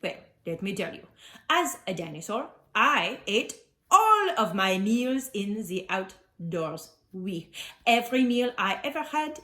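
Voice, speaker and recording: A woman's voice: mid-range voice, big pitch swings, unhurried, bright, forceful tone, voice pushed down. A woman voicing a jolly, self-assured male French Tyrannosaurus who presents to children with theatrical flair and a French accent. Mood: proud, exuberant. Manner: theatrical, cheerfully boastful, explaining to an audience. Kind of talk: playful holiday presentation for children. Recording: roomy, echoing sound.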